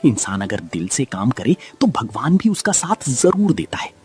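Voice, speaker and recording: A man's voice: mid-range voice, very animated, normal speed, darkish tone, husky, soft background music. A man voicing a wise elderly father who counsels his son. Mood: proud, advising. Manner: advising, preachy.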